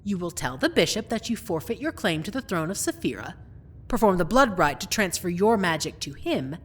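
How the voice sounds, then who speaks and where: A woman playing a cold, scheming royal stepmother in a fantasy audio roleplay, mockingly sweet and condescending, with drawn-out taunts. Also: fairly low pitch, big pitch swings, slight background noise. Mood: mocking, haughty.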